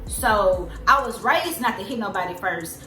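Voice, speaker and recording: A woman in her twenties with a soft, squeaky voice, telling a story in a casual, rambling way with lots of fillers. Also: lively intonation, moderate pace. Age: 20s. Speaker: vlogger. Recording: roomy echo with loud music behind. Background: music.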